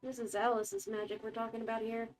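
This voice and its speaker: A woman's voice: on the high side, level tone, fast talker. A woman streaming a game, reacting casually and reading dialogue aloud.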